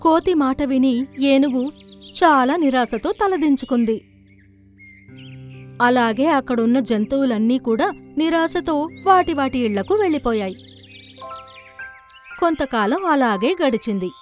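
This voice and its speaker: High voiced lady narrating a kids story, bit of music behind. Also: lively intonation, a little slow, long pauses. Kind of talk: scripted children's story narration.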